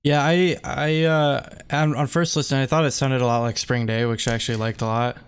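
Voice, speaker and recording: A man's voice: medium pitch, steady tone, brisk, clear, resonant tone. A man co-hosting a casual music review podcast, talking hesitantly and hedging his opinions. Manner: hesitant, hedging, full of "I think" and "like". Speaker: podcast co-host.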